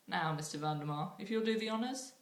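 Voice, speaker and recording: A woman's voice: medium pitch, expressive, fast, lightly acted. A woman reading aloud and voicing a chirpy, smug, cruel male henchman, in a roomy room. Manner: cheerful, smug, relishing cruelty. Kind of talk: audiobook reading.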